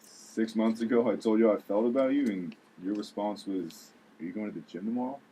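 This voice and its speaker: A by-the-book policeman, acted by a man at a table read, guarded and reluctant. His voice sits on the low side, with some noise in the recording. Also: a little fast, warm tone, natural voice, rough recording. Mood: hurt, defensive. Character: gruff by-the-book policeman, wounded over a rejected crush.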